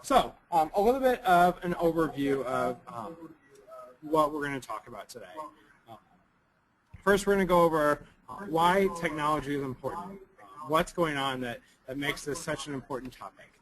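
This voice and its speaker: Slightly raspy young man presenting. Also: a little high, expressive, rough recording. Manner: halting, many ums and restarts. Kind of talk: recorded conference presentation.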